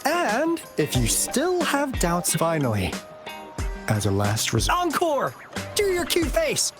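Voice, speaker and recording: A man's voice: very high-pitched, wildly swooping pitch, takes it slowly, full character voice. An actor playing a sly, playful male information broker in a video game, with teasing, theatrical salesman patter. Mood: playful, salesmanlike. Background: music.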